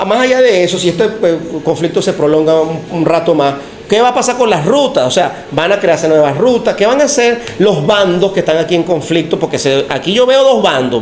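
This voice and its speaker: A man's voice: on the high side, darkish tone. Chatty man in an echoey room. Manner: conversational, asks long questions, uses fillers like "ok?" and "este". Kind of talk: casual podcast discussion. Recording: echoey room with faint noise.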